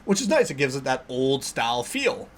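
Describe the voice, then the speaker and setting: A man's voice: mid-range voice, sing-song, moderate pace, a bit bright, slight background noise. A man giving casual reaction commentary as a video host, jittery and often repeating himself.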